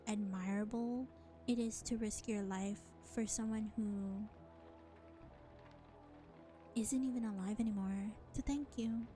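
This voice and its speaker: Slow, weary vampire woman, acted by a woman. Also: medium pitch, dark tone, natural voice. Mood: exasperated. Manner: deliberate, exasperated, sighing, condescending. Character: world-weary, powerful vampire, reluctant ally to a hunter. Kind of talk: scripted audio roleplay addressed to the listener.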